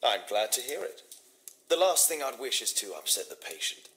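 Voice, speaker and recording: An actor playing a shrewd, courteous Victorian detective in a video game, formal and deliberate, with a British accent. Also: male voice, medium pitch, sing-song, fairly bright tone, a little hiss or hum. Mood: courteous, flattering. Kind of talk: scripted video game dialogue acting.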